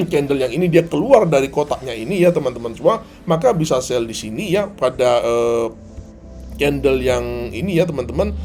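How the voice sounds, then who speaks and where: A slightly hoarse man giving a tutorial as a trading instructor, didactic, often asking and answering his own questions. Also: fairly high pitch, darkish tone. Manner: didactic, asks and answers own questions, addresses viewers as friends. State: hoarse, often clearing throat. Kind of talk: tutorial commentary on a chart replay.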